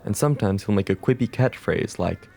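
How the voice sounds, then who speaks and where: Low, gravelly deadpan male storyteller. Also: brisk, faint music behind. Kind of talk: comic narration of a series pitch.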